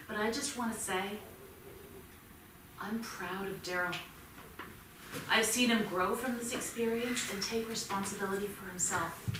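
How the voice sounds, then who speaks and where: A woman in a staged reading playing a proud, supportive mother, speaking earnestly and gently but with resolve. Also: medium pitch, close to an everyday voice. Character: proud, supportive, sincere mother of teenage boy. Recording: very echoey theatre, distant mic, noisy.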